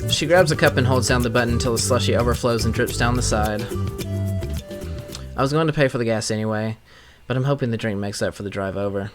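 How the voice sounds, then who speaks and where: Medium-pitched man narrating over music. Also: fast talker. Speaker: gaming streamer. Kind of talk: scripted narration, reading a visual novel aloud. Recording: clear music playing behind.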